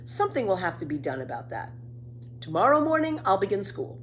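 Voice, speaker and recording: A feisty storybook little girl, put on by a woman reader, bold and blunt. Her pitch jumps around with emphatic, defiant confidence. There is some background noise. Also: huge pitch swings, brisk. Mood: bold, cheeky. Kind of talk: children's storybook character voice.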